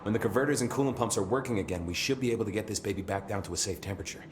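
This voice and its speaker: An actor playing a brash young security chief in a video game, chatty, sweary and joking with a slightly flustered manner. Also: male voice, fairly low pitch, rapid-fire, reverberant, a crowd in the background, natural voice. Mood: urgent.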